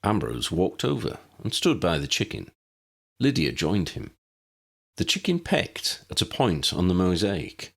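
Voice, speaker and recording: A man's voice: low voice, sing-song, measured pace, vocal fry, fairly bright tone, breathy edge. A man reading a scripted story aloud as an audiobook narrator.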